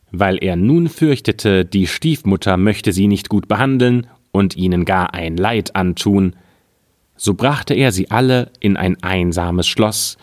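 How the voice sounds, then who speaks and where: A man narrating a scripted fairy tale as a storyteller, with a measured, expressive read-aloud delivery. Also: deep voice, dry recording, studio quality.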